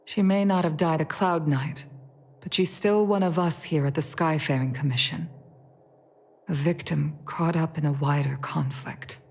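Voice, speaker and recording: A woman playing a dignified, grieving middle-aged leader in a video game, speaking in a formal, measured and solemn way. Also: sits low, a little mellow. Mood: grieving, resolute. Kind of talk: scripted video game voice acting. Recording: game music under the voice. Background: game music.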